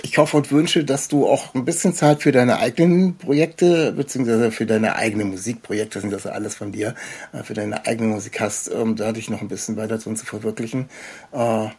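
A man hosting a podcast in a casual interview, talking in a halting, rambling way with a husky voice. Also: fairly low pitch, fairly even intonation, darkish tone. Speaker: podcast host.

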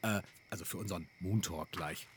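A man's voice: sits low, brisk, background noise. A man who co-hosts a podcast, chatting casually as himself in an audio play. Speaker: podcast co-host. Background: faint music.

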